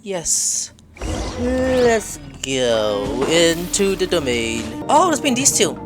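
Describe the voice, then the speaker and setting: A woman's voice: on the low side, very slow. A woman hosting a game playthrough, reading dialogue aloud and joking along with plenty of swearing. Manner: joking, foul-mouthed, animated. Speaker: gameplay video host. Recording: game music behind.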